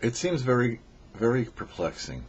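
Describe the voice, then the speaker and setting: A man who is a rabbi, giving a short weekly Torah teaching with measured, slow emphasis on key phrases. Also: mid-range voice, sing-song, husky, muffled recording. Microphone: studio microphone.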